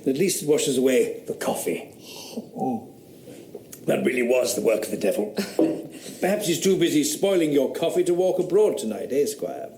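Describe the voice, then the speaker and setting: A dry, urbane skeptic philosopher. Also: male voice, medium pitch, sing-song, moderate pace, warm tone, roomy sound, slight background noise. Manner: dry, witty, precise period diction. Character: wry skeptical male philosopher, urbane, rational. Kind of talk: radio drama acting.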